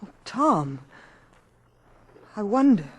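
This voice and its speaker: An actress playing a patient but doubting mother, polished and gently firm, in a 1950s radio drama. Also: mid-range voice, big pitch swings, slow pace, breathy edge, faint music behind, heavily acted. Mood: uneasy. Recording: 1950s radio broadcast recording.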